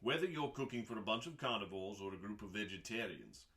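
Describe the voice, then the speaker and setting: A man reading recipes aloud as a host, in a dramatic audiobook style with deliberate pauses and emphasis. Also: fairly low pitch, lively intonation, pushed and bright.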